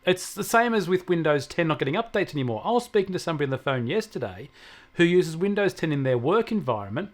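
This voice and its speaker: An Australian man with a voice pitched a little high talks as a technology commentator on a regular show. He explains things conversationally and with emphasis, often stacking repeated phrases to make a point. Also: sing-song, fast.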